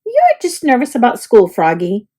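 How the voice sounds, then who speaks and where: A woman voicing a kindly frog mother in a children's storybook, soothing and gently chiding. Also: a little high, wildly swooping pitch, moderate pace, breathy edge, exaggerated voice. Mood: reassuring.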